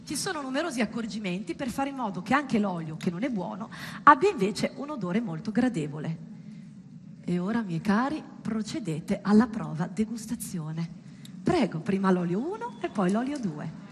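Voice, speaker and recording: A woman's voice: mid-range voice, sing-song, moderate pace, a bit bright. A woman performing a theatrical monologue to an audience, dramatic and ironic, with rhetorical questions. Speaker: stage actress performing a monologue. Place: indoor performance space, live. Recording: reverberant hall with background noise.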